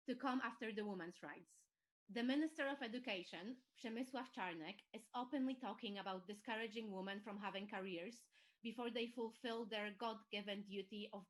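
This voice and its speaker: Polish woman in her 30s doing a prepared webinar talk, mid pitch, measured and serious. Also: a bit bright, low-quality recording. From Poland. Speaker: panel speaker, charity chief executive. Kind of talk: prepared political talk, read from notes. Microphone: video call.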